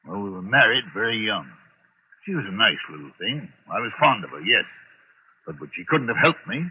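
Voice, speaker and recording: A man's voice: on the low side, very animated, brisk, husky. An actor playing a pompous, snobbish middle-aged businessman with a haunted streak, clipped and imperious, in old-time radio drama style. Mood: defensive, wistful. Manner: imperious, clipped, self-important. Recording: noisy, tinny vintage radio recording.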